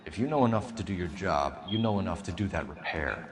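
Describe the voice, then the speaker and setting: An actor playing a stern 1940s police detective, questioning suspects in a pointed, accusatory way. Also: male voice, low-pitched, expressive, a little fast. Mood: accusing. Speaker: video game detective. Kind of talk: dramatic interrogation acting. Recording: music under the dialogue.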